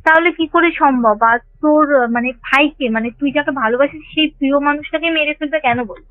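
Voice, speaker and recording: A woman's voice: high-pitched, moderate pace, dry recording. Frightened woman on a tinny phone call. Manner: fearful, earnest, rambling retelling. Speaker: caller telling a ghost experience. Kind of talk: phone-in storytelling. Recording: tinny phone line with faint music.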